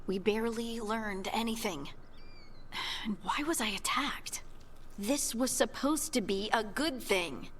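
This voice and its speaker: A woman's voice: on the high side, a little slow, a little hiss or hum, natural voice. An actress playing an earnest, sincere female adventurer in a fantasy video game. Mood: troubled, confused. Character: earnest female adventurer, unsettled but grateful. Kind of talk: video game character dialogue.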